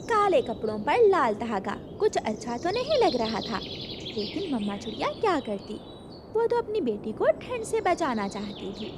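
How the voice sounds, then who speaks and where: High-voiced woman narrating a children's story. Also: big pitch swings, unhurried, noisy background.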